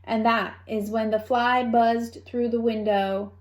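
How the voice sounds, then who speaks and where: A woman reading a children's story aloud in an expressive manner, with playful asides to young listeners. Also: a little high, takes it slowly. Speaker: storybook reader.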